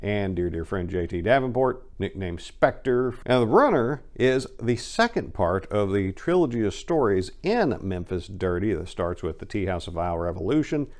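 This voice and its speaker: A man's voice: fairly low pitch, very animated, normal speed, few pauses, darkish tone. A man hosting and narrating a dramatic reading of a short story in a storytelling style. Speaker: channel host reading own short story.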